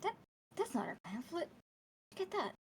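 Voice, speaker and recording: A woman's voice: extremely high pitch, wildly swooping pitch, normal speed, heavily acted. A woman playing a chirpy but testy, stubborn tour guide with dismissive presenter patter, in a comedy table read. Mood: testy, defensive. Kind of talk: live table read of a comedy radio show.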